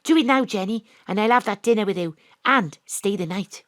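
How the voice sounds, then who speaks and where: A woman narrating and playing a bold private investigator, often hushed and conspiratorial. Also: medium pitch, fairly quick, dry recording. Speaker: narrator and lead. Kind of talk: first-person drama narration. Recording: dry home studio.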